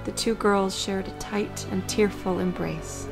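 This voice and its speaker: A woman reading scripted story narration for an audio drama in a measured, creaky voice. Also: mid-range voice, steady tone, music in the background.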